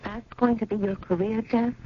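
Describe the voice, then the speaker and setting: A woman's voice: a little high, fairly even intonation, moderate pace, natural voice. An actress playing an earnest, anxious, genteel woman in a 1970s radio drama, speaking in a refined, fretful way. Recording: muffled old radio, faint noise.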